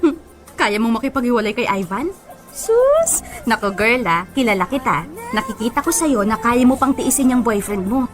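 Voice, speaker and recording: Blunt young gal pal, high lively pitch, scolding banter, radio drama. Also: female voice, normal speed. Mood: teasing. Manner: animated, scolding, girly banter. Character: teasing, bossy young girlfriend giving blunt advice. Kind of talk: Filipino radio drama acting. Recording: prominent music behind voice.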